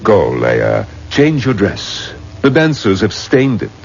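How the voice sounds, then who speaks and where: An actor playing a protective old religious teacher in a 1970s radio drama, grave and emphatic. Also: male voice, fairly low pitch, wildly swooping pitch, takes it slowly, creaky, a little hiss or hum, exaggerated voice. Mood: guarded, concerned. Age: older. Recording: muffled old radio broadcast.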